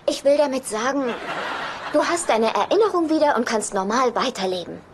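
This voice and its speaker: High-voiced, breezy quirky woman. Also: expressive, moderate pace, background noise. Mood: breezy, unshockable. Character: quirky, cheerfully unshockable woman psychologist friend. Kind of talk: German sitcom dubbing.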